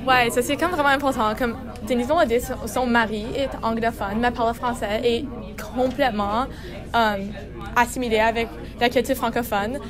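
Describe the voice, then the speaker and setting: A woman's voice: a little high, fairly even intonation, normal speed, a crowd in the background. Teen girl student saying "comme" a lot. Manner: fluent, peppered with "comme". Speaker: student in a class discussion. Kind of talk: classroom round-table discussion.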